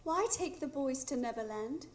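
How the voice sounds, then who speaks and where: A woman's voice: high voice, expressive, normal speed. A woman playing a wistful, caring grown woman and mother in a live radio drama. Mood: questioning. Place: improv theatre stage, live audience.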